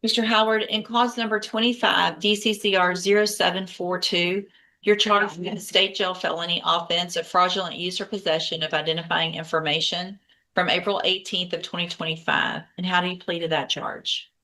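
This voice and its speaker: A woman judge with a notably low voice runs a courtroom hearing. She is formal, measured and matter-of-fact as she moves through scheduling and plea questions. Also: fairly even intonation, pushed and bright, low-quality recording. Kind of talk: courtroom proceedings.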